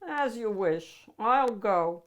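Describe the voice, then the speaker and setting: A woman voicing a mild, bookish male monster with a breathy voice and a polite, earnest manner, for a storybook. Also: mid-range voice, animated, slow pace. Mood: complaining.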